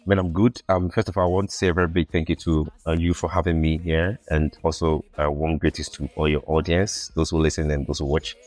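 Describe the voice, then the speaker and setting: A man's voice: very deep voice, level tone, brisk, few pauses, a little mellow, soft background music. A young man from Ghana, a podcast guest telling his life story in an earnest, reflective way and often repeating words while he finds his phrasing. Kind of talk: casual interview storytelling.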